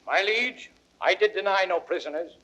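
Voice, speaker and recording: A man's voice: high voice, moderate pace, bright, forceful tone, low-quality recording. An actor playing a proud, fiery young nobleman, declaiming Shakespearean verse emphatically on a vintage audio drama recording. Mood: indignant, scornful. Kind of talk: classical Shakespearean radio acting.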